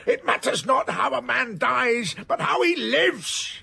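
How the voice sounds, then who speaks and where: A man's voice: extremely high pitch, very animated, slow pace, vocal fry, bright, forceful tone, breathy edge, heavily acted. An actor playing an imposing, blunt older man of letters, formal and emphatic, moody yet affectionate, in a period radio drama. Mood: emphatic, scornful.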